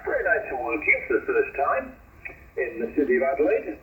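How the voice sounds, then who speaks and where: A man talking casually in a polite, halting way as an amateur radio operator, heard through a single-sideband radio link. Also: fairly high pitch, very animated. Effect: shortwave single-sideband radio. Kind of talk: casual ham radio contact. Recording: noisy narrow-band radio signal, echoey.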